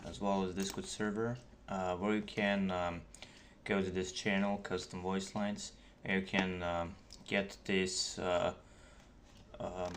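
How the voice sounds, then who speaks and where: A man with a low voice explains game modding in a casual tutorial. He talks slowly and haltingly, stopping often between short phrases. Light background noise and keyboard clicks sit behind him. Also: flat delivery, fairly bright tone. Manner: halting, hesitant explaining with many pauses. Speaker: tutorial narrator for game mods. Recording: noisy desk mic, keyboard clicks. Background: keyboard typing and mouse clicks.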